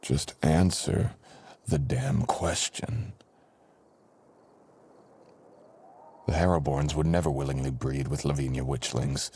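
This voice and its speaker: A man acts out a dangerous ancient sorcerer in a boyfriend ASMR audio drama. His voice is very low and husky, often sinking toward a breathy whisper. He speaks very slowly, with menacing pauses and a vengeful edge. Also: flat delivery, darkish tone, heavily acted. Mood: menacing, suspicious. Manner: slow, dramatic, threatening delivery with pauses.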